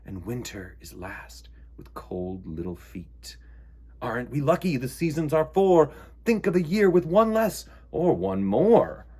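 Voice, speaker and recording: A man's voice: mid-range voice, very animated, measured pace, a little mellow, breathy edge, voice pushed up. A man voicing a dreamy little poet mouse from a children's storybook, declaiming verse as if on a stage. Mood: theatrical, grand.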